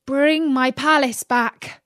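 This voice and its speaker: Earnest young storybook hero, played by a woman, courtly, pleading, unhurried. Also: sits high, steady tone, a bit bright, no echo, clean studio sound, pitched above the performer's own voice.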